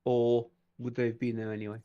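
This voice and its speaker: A man's voice: medium pitch, completely flat delivery, brisk, warm tone, clear, resonant tone, lo-fi sound. A man playing a tabletop game, taking charge and proposing plans in casual chat.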